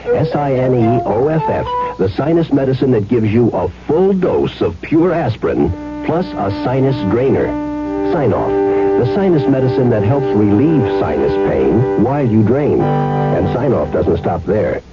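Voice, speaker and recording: A man's voice: medium pitch, very animated, a little slow, loud music behind the voice. A man announcing a 1970s radio commercial in an emphatic, salesmanlike way. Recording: dull vintage radio broadcast.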